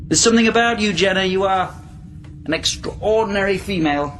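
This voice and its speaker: A man's voice: high voice, fairly quick, a bit bright, faint music behind. A man acting in a radio drama as a volatile captive from centuries past, probing and rambling, swinging between tender and menacing. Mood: coaxing, awkward.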